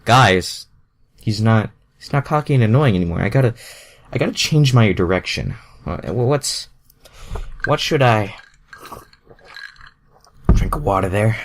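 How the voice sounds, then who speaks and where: A man's voice: fairly low pitch, lively intonation, a little slow, creaky, pauses often. A man doing a casual amateur story reading, self-deprecating and breaking off to comment. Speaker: amateur fan fiction reader.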